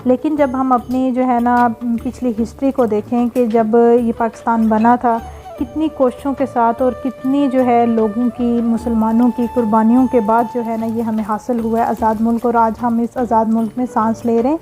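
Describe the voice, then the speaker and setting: A woman's voice: on the high side, level tone, normal speed, soft background music. A woman, hosting her cooking vlog in a casual, rambling voice-over full of filler phrases and blessings. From Pakistan. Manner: warm religious blessings and greetings. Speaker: cooking and family vlogger.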